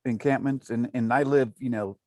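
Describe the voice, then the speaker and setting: A man chatting earnestly on a video call, often filling his pauses with "you know.". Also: mid-range voice, steady tone, dark tone, dry recording, studio quality. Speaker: table read cast member. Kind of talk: casual chat on a video call. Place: home near Venice, Los Angeles.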